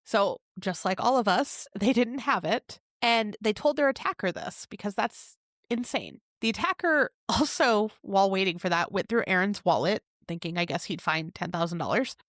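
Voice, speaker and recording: A woman co-hosting a podcast, telling a crime case in a chatty way with quick asides. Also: mid-range voice, lively intonation, fairly bright tone, dry recording. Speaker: podcast co-host. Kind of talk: casual true crime chat.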